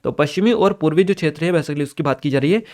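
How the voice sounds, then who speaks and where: Higher-pitched man teaching. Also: fast, darkish tone. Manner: instructional, reads notes aloud, checks in with listeners. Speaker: exam-prep teacher. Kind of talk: exam paper analysis lesson, reading out questions and explaining answers.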